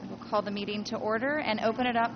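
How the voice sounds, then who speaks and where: A woman's voice: mid-range voice, fast talker. Friendly woman chairing a meeting. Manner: friendly and a little flustered, laughing at herself. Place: public meeting room. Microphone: table microphone. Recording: muffled meeting audio with audible noise.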